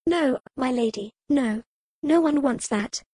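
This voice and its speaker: Woman voicing a worried little girl, pleading, high and pinched, dry close audiobook read. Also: slow, dark tone, breathy edge, no room sound, exaggerated voice. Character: earnest anxious little girl, about seven.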